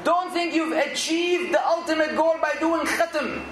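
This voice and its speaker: A man's voice: very high voice, moderate pace, a bit bright, roomy sound, soft background music. A man giving an earnest Islamic sermon, exhorting his listeners and now and then rising to emphatic outbursts. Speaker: Islamic preacher.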